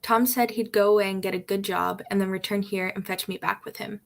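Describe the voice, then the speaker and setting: A woman's voice: mid-range voice, steady tone, a little fast, gravelly, natural voice. Radio drama casting: young village servant girl, played by a woman, mid-range voice, defensive and plaintive. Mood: forlorn. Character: Mary, forlorn, defensive young village maid.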